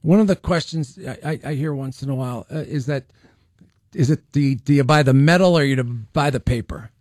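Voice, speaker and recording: A man's voice: mid-range voice, fairly quick, a little mellow, dry recording, clean studio sound. A man co-hosting a talk show in an easygoing way, asking plain questions and laughing along. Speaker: show host. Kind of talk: casual talk show chat.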